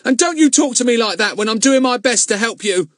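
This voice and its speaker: A cheeky young boy, panting and indignant as he talks back to his uncle, pitched very high, as a man puts him on in a storybook reading. The sound is close and dry. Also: animated, fairly quick, fairly bright tone, no echo. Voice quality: out of breath.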